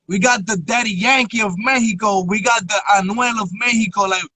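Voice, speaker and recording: Bright, very high-voiced male host. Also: brisk, no room sound. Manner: emphatic, keeps asking "you feel me". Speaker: interview host. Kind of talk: casual interview chat. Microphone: phone on a live stream.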